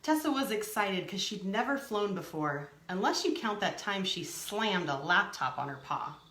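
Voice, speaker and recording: A woman reading a picture book aloud to young children, expressively, pausing to add simple explanatory asides. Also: medium pitch, animated, a bit bright, echoey room. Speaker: storytime reader.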